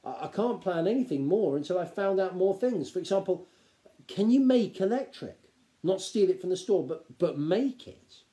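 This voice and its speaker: Young gnome idealist, read by a man: highish, mellow, earnest, awkwardly stammering storybook hero. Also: animated. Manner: stammering, eager, halting.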